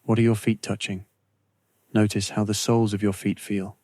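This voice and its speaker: A man narrating a scripted guided meditation in a slow, measured, soothing way with long pauses. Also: on the low side, flat delivery, dark tone, dry recording.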